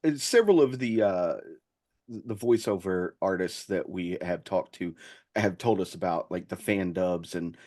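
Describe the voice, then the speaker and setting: A man's voice: low voice, measured pace, a little mellow. A man hosting a casual interview, feeling his way through questions with hesitant, stammering phrasing. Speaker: podcast interviewer.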